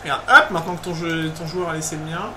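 A man casually chatting while playing a football video game, shouting excitedly at goals. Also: on the high side, fast talker. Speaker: gamer co-host. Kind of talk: casual gaming commentary. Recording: roomy, far-off mic, game noise.

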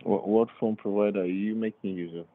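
A man's voice: lowish voice, fairly even intonation, a little fast, phone-quality sound. A man calling as a scammer, insistently pressing for phones and purchases. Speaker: scam caller.

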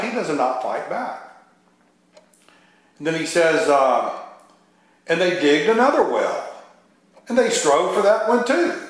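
A middle-aged man preaching an extemporaneous verse-by-verse Bible sermon in an earnest, reflective, halting way, repeating phrases as he goes. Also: a little high. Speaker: Baptist preacher. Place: live sermon relayed to a radio station. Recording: very echoey, reverberant room sound.

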